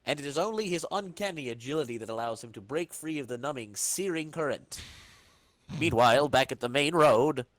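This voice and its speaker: A man's voice: mid-range voice, expressive, fairly quick, fairly bright tone, no echo. A man narrating a comic book in a dramatic reading as a podcast host.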